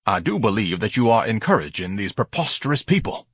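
A man's voice: lowish voice, very animated, brisk, husky, no echo, studio quality. A man voicing a pompous, haughty grown man who lectures his mother.

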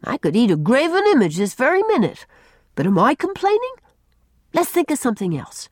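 A woman's voice: high voice, wildly swooping pitch, fairly quick, darkish tone, dry recording, studio quality, pitched above the performer's own voice, heavily acted. A woman voicing a bossy, stoical boy with an emphatic, commanding manner in a storybook. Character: bossy, stoical boy, firm older brother.

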